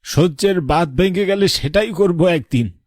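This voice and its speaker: A middle-aged husband in an animated drama, played by a man. His voice sits a little high and very dry, with a weary, scolding, moralising delivery. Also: a little slow, dry recording. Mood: reproachful, fed up. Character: reproachful older husband, grieving father-in-law. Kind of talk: animated horror story drama dialogue.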